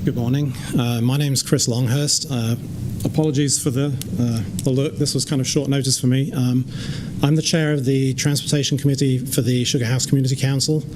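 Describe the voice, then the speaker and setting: A man in his fifties with an English accent, a community committee chair giving enthusiastic, measured public comment with occasional ums. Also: medium pitch, fairly even intonation. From England and the Netherlands. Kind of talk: public comment at a government meeting. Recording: noisy public meeting room audio.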